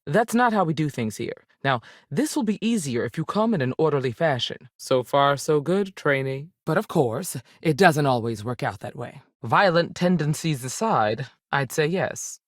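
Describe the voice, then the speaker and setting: An actress playing a stern, dutiful senior space ranger in a video game, speaking in a firm, plain-spoken, authoritative way with folksy frontier phrasing. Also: female voice, low-pitched, animated, moderate pace, no echo, studio quality. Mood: firm, businesslike. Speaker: video game character voice actor. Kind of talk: game dialogue acting.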